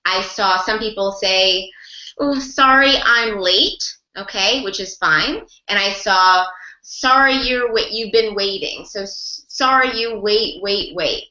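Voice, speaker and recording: A woman leads an online class for interpreters, encouraging them and walking through example after example. Her voice is bright and forward. It comes through a dull, echoey video call line. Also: medium pitch, slow. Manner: encouraging teacher, talks through examples, rephrasing and repeating. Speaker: ASL interpreting mentor. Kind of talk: online group class discussion.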